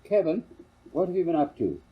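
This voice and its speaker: An older man voicing a brisk, scolding farm mother in a storybook, husky and sharply questioning. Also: fairly high pitch, sing-song, dull audio, pitched above the performer's own voice.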